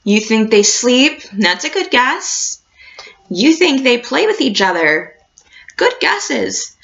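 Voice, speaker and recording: A woman reading a picture book aloud like a teacher, expressive and encouraging, addressing young children. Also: on the high side, takes it slowly, gravelly, highs cut off. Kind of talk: storybook read-aloud with questions to a class.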